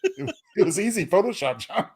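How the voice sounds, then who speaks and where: A man's voice: high-pitched, huge pitch swings, fairly quick, darkish tone. A man co-hosting a podcast in casual conversational chat. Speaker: podcast co-host.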